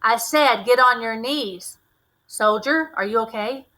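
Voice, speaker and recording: A commanding colonel from a storybook, as a woman reader plays him. He is steady, protective and military in manner, with a forceful delivery in a fairly high register. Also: unhurried.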